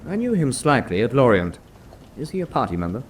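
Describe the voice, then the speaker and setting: An actor playing a senior officer in a radio drama, dry and authoritative, with a clipped, formal manner of briefing. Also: male voice, medium pitch, big pitch swings, brisk, slight background noise, lightly acted. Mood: dry, probing. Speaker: senior prisoner-of-war officer.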